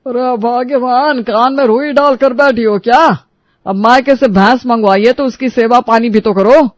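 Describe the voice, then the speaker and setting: A man's voice: extremely high pitch, normal speed, dry recording. A man voicing a nagging, sarcastic elderly husband in a cartoon story, sounding exasperated. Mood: sarcastic scolding.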